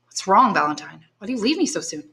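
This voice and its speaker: A woman's voice: mid-range voice, very animated, fast, sharp tone. A woman reading aloud and voicing a devoted young man, earnest and reassuring, close to her own voice. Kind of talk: audiobook character voice.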